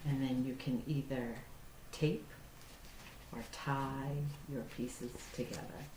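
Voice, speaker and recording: A woman hosting storytime for young children, speaking in simple, deliberate phrases as she reads aloud or explains a craft. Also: a bass voice, steady tone, a little mellow. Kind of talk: picture-book read-aloud and craft demonstration for young children. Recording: roomy echo with faint background noise.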